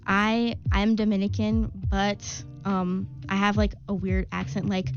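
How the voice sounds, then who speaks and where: A woman's voice: mid-range voice, little pitch movement, measured pace, over music. Chatty young woman student, muffled recording. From Long Island, New York. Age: young adult. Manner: chatty, many fillers, enthusiastic. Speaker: student club president. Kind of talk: casual interview answers.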